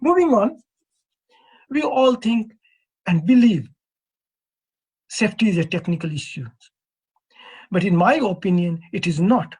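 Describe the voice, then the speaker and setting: A man in his fifties from East Nepal with a Nepali accent, giving a measured, earnest conference presentation as an earthquake engineer. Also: high-pitched, expressive, a little mellow, husky, low-quality recording. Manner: measured, earnest, poses questions to the audience.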